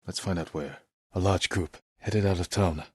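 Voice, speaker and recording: An actor playing a stern, brooding samurai lord in a video game, speaking in measured, grave, clipped phrases. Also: male voice, sits low, fairly even intonation, a little mellow, husky, dry recording, studio quality. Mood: stern, resolute. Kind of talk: dramatic video game voice acting.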